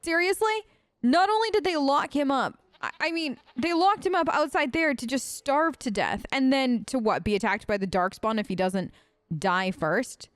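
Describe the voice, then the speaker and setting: A woman gamer talks through a role-playing game in character. Her voice is fairly high and recorded very dry, and she is chatty and expressive in her reactions. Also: moderate pace, no echo. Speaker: gamer, let's player.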